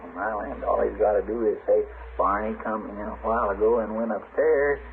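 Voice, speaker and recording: Actor as folksy deputy, tinny radio sound. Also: male voice, fairly low pitch, fairly even intonation, a little fast, close to an everyday voice. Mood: chatty. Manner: folksy, chatty, questioning. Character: folksy, eager, deferential frontier deputy. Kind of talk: 1950s radio western acting. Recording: thin, very noisy old radio broadcast.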